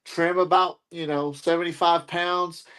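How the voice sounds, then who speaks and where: Higher-voiced male wrestler, blunt and casual. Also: flat delivery, a little slow, fairly bright tone, clean tone. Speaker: guest wrestler on a talk show. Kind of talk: casual banter about wrestling rivals.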